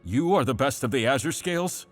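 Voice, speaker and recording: A man playing a solemn king in an audio drama, speaking formally and gravely. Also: medium pitch, lively intonation, fairly quick, dry recording, soft background music, close to an everyday voice. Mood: grave, commanding. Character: solemn king commanding his knights.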